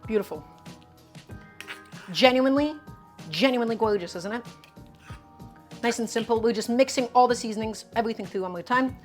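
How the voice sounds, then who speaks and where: A woman's voice: fairly high pitch, brisk, long pauses, soft background music. A woman on a live cooking stream, talking to chat step by step in an upbeat way and often saying "guys" and "okay". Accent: her own distinct accent, not Brooklyn.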